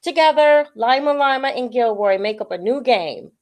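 A woman reading a children's picture book aloud as a storyteller, with expressive delivery. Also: on the high side, normal speed.

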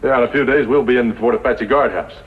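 A man plays a tough, impatient western outlaw. He fires off curt, slangy lines at a quick clip in 1950s radio style, on a dull recording with heavy noise. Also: mid-range voice, close to an everyday voice. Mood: surly, impatient. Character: wanted Old West outlaw gunman, impatient and surly.

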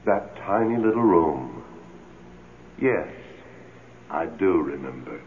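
An actor playing the amorous, coaxing ghost of a philandering husband in a radio drama, pleading and smooth-talking. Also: male voice, low-pitched, sing-song, slow, full character voice. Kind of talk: old radio drama acting. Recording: tinny, hissy old radio recording.

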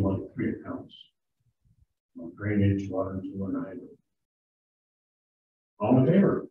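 Man reading bills in an echoey hall. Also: lowish voice. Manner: brisk, matter-of-fact, rattles off figures. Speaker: town supervisor chairing a board meeting. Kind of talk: reading resolutions and bills at a meeting. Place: large town hall meeting room. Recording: distant echoey hall audio, thin phone-like sound, faint hiss.

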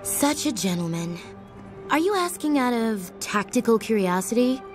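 A woman's voice: a little high, sing-song, moderate pace. An actress playing a bloodthirsty young samurai schoolgirl assassin, intense and profane, in a video game. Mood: taunting. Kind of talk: dramatic video game voice acting. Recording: long reverb, music behind.

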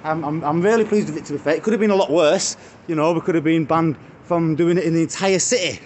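British street vlogger in his thirties, high light voice, chatty and mocking, phone at arm's length outdoors. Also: quick pace, darkish tone. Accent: British English. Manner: chatty, addresses viewers as guys, mocking jabs. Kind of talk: walking selfie vlog chat. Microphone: phone held at arm's length. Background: faint street traffic.

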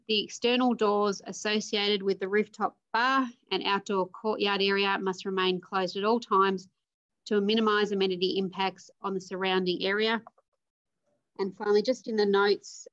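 A woman's voice: medium pitch, a bit bright. Australian woman councillor on a video call. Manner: measured, reads motion wording carefully. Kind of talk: formal council meeting speech. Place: home or office room on a video call. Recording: dull lo-fi video call audio.

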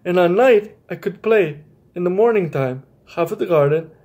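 A young adult man hosting a children's story time, speaking haltingly and often repeating his phrases. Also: high voice, expressive, a little mellow. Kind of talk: children's story time, read-aloud and chat to viewers. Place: small bright room indoors.

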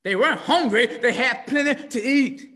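A middle-aged man preaching an impassioned sermon from home over a video call, swinging from shouts down to hushed near-whispers in a repetitive cadence. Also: very high-pitched, normal speed. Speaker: pastor. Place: home room with window blinds. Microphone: video call webcam. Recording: video call audio with long reverb.

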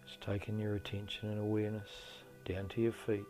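A middle-aged man leading a guided meditation in a slow, deliberate, soothing delivery with long pauses. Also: on the low side, completely flat delivery. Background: soft meditation music.

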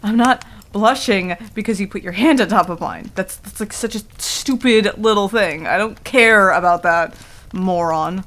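A woman's voice: medium pitch, normal speed, fairly bright tone, lightly acted. A woman playing a prickly, easily flustered tsundere werewolf in a casual ASMR roleplay, with a defensive, stammering manner. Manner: casual, defensive, stammering when embarrassed, swears. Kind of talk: intimate ASMR roleplay acting. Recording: clearly audible background noise.

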